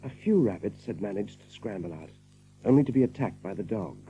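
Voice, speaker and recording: A man's voice: fairly low pitch, expressive, brisk, warm tone, muffled recording, a little hiss or hum. A man narrating a story as scripted, dramatic storytelling for an audio drama.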